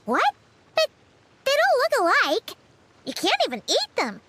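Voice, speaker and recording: A woman voicing a tiny, childlike fairy companion in a video game, excitable and cheeky, with a squeaky voice. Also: a little slow, a little hiss or hum, heavily acted. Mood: puzzled. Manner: exclamatory, expressive, refers to self by name.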